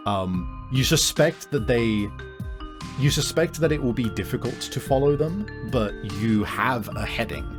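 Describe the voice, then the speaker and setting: A man's voice: medium pitch, lively intonation, a little slow, music in the background. A man acting as game master, improvising descriptive narration in a conversational way and addressing the player directly.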